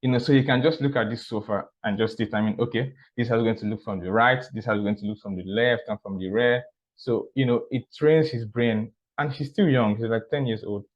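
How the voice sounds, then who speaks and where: A man sharing personal stories in a measured, casual way, often repeating words and asking "right?". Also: medium pitch. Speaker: art teacher and architecture student. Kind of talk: casual talk. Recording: dull, muffled recording.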